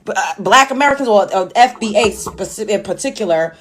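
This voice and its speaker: A woman's voice: medium pitch, animated, brisk. A woman in a played clip delivering a blunt, impassioned monologue full of rhetorical questions.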